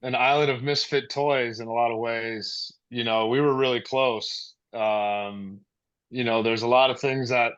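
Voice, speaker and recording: An American man in his forties with a mid-pitched voice, heard through slightly dull, lo-fi call audio. He speaks earnestly and a little hesitantly, in casual podcast conversation. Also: fairly even intonation, unhurried, fairly bright tone, clean tone. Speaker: high school baseball coach, former player.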